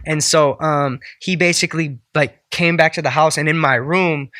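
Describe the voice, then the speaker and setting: A man's voice: a little high, fairly even intonation, moderate pace, sharp tone, dry recording, studio quality. A man in his twenties from the Bay Area, a musician guest telling his story in a laid-back, rambling way in a house. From Bay Area, California. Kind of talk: casual interview chat. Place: indoors at a house.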